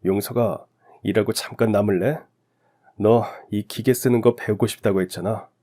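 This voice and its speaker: Fatherly factory mentor, acted by a male reader: male, kind, caring, lower-pitched, radio drama. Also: steady tone, normal speed, warm tone, natural voice. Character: kindly father, caring senior factory technician.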